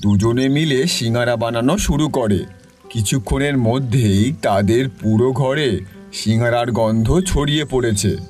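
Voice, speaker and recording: A man's voice: mid-range voice, a little slow, a little mellow, soft background music. A man giving scripted narration for a cartoon story.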